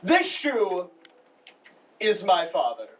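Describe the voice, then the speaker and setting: A man playing a woeful, muddled Shakespearean clown servant in a comic stage monologue, speaking deliberately. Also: sits high, huge pitch swings, a bit bright, slight background noise, full character voice. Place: school showcase stage, recorded from the audience. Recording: distant stage recording.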